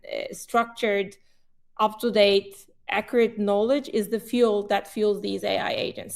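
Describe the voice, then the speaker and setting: Turkish-accented woman explaining tech calmly. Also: mid-range voice. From Turkey. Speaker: webinar panelist, company CEO. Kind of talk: business webinar discussion.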